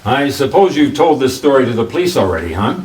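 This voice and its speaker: An actor playing a wry, hardboiled private detective, narrating a noir radio drama in a clipped way. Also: male voice, mid-range voice, sing-song, a little fast, darkish tone, natural voice. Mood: cool, suspicious. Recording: roomy, distant mic, faint noise.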